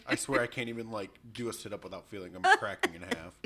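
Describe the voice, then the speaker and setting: A man's voice: lowish voice, fast talker, bright, forceful tone. Frank, self-deprecating young man co-host. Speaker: podcast co-host. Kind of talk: casual chat.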